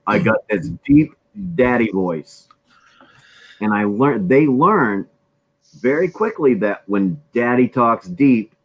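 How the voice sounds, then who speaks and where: A man with a somewhat low voice speaks bluntly in a relaxed group chat. He tells things plainly and directly. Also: muffled recording.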